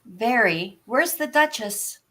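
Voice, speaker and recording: A woman's voice: fairly high pitch, animated, takes it slowly, pushed and bright, exaggerated voice. A woman playing a polite, curious and forthright young girl in a storybook read, speaking earnestly.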